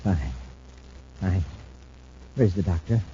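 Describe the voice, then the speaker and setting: A man playing a weak, evasive space castaway murmuring haltingly from a hospital bed in an old-fashioned radio drama. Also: sits low, lively intonation, mellow, husky, exaggerated voice. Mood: weak, wheedling. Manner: halting, slow, trailing off. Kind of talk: 1950s radio drama acting. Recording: muffled, hissy old radio recording.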